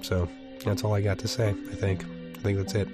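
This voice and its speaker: A man with a low voice hosts his podcast while sick and recovering from a lost voice. He rambles through self-deprecating apologies in a loose, casual way. Loud music plays under his voice. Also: level tone, a little fast. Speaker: podcast host. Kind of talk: casual solo intro monologue.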